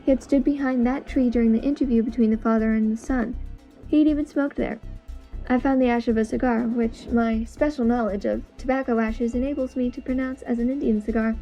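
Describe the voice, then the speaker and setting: A woman's voice: a little high, steady tone, a little fast, mellow, natural voice. A woman playing a confident, precise, analytical detective in an amateur audio drama, explaining things in an assured way. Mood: assured, explanatory. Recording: dull lo-fi audio with music.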